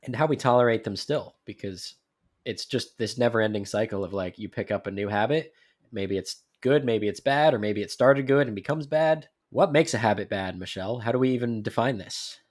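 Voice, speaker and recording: A man co-hosting a casual podcast, congested, talking in an animated, joking way. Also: on the low side, fairly quick. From New Jersey. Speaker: podcast co-host.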